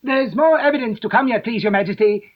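A man's voice: extremely high pitch, fairly quick, highs cut off, voice pushed up. A man voicing a nervous, fussy male rabbit courtier who speaks in a polite, deferential way. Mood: hurried, deferential. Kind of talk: audiobook character voice.

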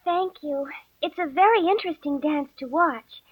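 A woman's voice: high voice, moderate pace, natural voice. A woman playing a polite, timid, curious little girl in a storybook dramatisation, prim and hesitant. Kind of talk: audiobook dramatisation acting. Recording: tinny phone-like band-limited old recording.

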